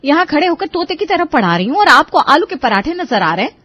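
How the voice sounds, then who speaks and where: Woman acting as scheming daughter-in-law, sharp-tongued, higher voice, family cartoon, dry close sound. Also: sing-song, a little fast, dry recording. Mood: scolding, scheming. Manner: scolding, sarcastic.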